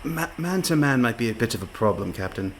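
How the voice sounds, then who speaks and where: An actor playing a nervous, deferential pirate first mate in a radio play, stammering politely and hesitantly. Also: male voice, mid-range voice, animated, fairly quick, close to an everyday voice. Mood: nervous, apologetic. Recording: faint background noise.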